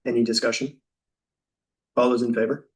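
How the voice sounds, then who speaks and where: A man chairing a town board meeting, calling votes in a brisk, procedural way. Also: mid-range voice, level tone. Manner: brisk procedural, routine phrasing. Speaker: first selectman chairing the meeting. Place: meeting room. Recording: voice from far off the mic.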